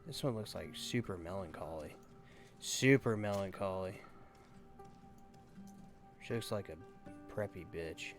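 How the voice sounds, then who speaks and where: A man's voice: sits low, expressive, music in the background. Man doing casual game playthrough commentary. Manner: halting, thinking aloud. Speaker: gaming YouTuber.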